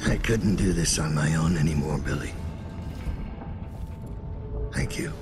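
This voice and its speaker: An actor playing a weary, grave old assassin mentor in a video game, speaking deliberately with a gravelly voice. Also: male voice, rumbling low, music in the background. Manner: grave, deliberate, in short phrases. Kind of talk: dramatic video game dialogue.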